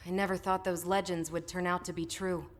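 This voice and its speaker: A woman's voice: lowish voice, level tone, normal speed, lightly acted. A woman playing a thoughtful, earnest expedition member in a video game, speaking seriously. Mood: awed. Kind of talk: video game cutscene acting. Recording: some ambient noise behind.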